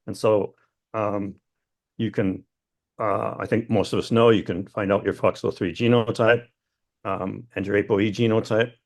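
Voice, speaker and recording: A man with a fairly low, raspy voice sounds tired as he asks a question over a video call. He talks in a friendly, rambling way. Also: flat delivery, moderate pace. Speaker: audience member asking a question.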